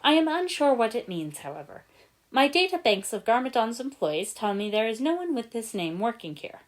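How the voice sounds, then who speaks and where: A woman voicing a polite, precise male robot ninja in a story, formal and measured. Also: a little high, sing-song, voice pushed up. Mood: puzzled, formal.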